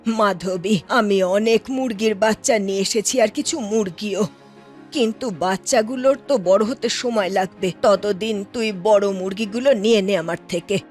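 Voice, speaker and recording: A woman voicing a slow, motherly older village auntie. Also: mid-range voice, darkish tone, faint music behind, voice pushed down, lightly acted. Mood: matter-of-fact. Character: kindly older village auntie, worried egg-selling mother.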